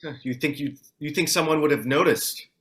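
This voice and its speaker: A man's voice: fairly high pitch, fairly quick, a bit bright, close to an everyday voice. A man at a table read playing a practical, worried man stranded after a crash. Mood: uneasy, practical. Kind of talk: table read of a TV pilot script.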